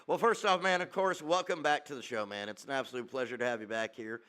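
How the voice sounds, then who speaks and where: A man's voice: medium pitch, fairly even intonation, fast talker, pushed and bright, clean tone. A man hosting a casual podcast interview, hyping up his guest and filling his talk with "uh" and "man". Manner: hype introductions with many fillers and "man". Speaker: podcast host.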